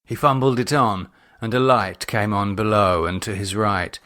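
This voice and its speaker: A man's voice: on the low side, normal speed, bright, forceful tone. A man reading a novel as scripted narration. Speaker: author and audiobook narrator.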